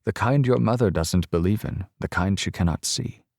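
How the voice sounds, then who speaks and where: A man voicing an elderly Polish-born grandmother, explaining slowly and softly to a child in an audiobook. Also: sits low, creaky, dry recording, clean studio sound. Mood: gentle. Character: elderly woman, ghost-seeing Polish-born grandmother, gentle.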